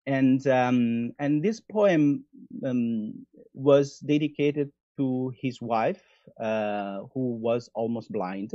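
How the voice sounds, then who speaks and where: A man's voice: mid-range voice, dark tone, dry recording. Guy on a video call, stops and restarts a lot, dry dull sound. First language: Italian. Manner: halting, many restarts. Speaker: guest. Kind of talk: conversational interview, reading a poem.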